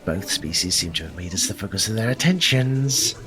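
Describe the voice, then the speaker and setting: Casting: man as watchful sci-fi hunter, low lively voice, careful wording, score behind. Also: fairly quick, close to an everyday voice. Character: observant, serious male hunting party member. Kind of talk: audio drama acting.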